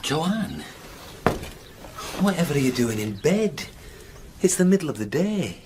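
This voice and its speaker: An actor playing a worried, gentle husband in a radio drama, awkwardly coaxing and hesitant. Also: male voice, fairly high pitch, big pitch swings, a little slow, noisy background.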